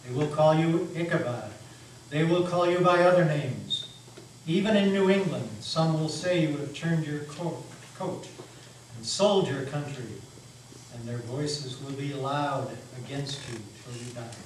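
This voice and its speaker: Male reader as the devil, grim smooth-talking stranger for a stage reading, slightly high, ominous, in an echoey hall. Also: unhurried, close to an everyday voice. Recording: echoey hall, distant mic, faint noise.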